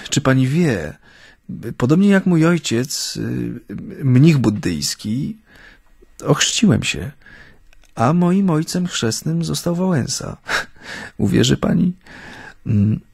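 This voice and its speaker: Slow, gravelly, halting ailing man. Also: medium pitch, sing-song, darkish tone, no echo, clean studio sound, heavily acted. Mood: reflective. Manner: halting, hesitant, searching for words. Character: ailing middle-aged Japanese man settled in Poland, reflective, courteous. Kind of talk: naturalistic radio drama acting.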